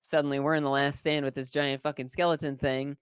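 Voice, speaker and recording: The speaker has a mid-pitched voice in a dry recording with no room sound. They recap game events casually and haltingly, in wry, colloquial language peppered with swearing. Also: flat delivery, smooth, no echo. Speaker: tabletop role-playing game player. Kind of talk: casual, rambling spoken story recap.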